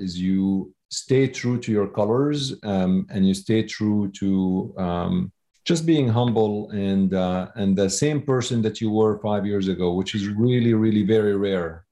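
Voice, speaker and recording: Low mellow-voiced podcast host. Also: male voice, a little slow. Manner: chatty, effusive, loose phrasing. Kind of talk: podcast interview.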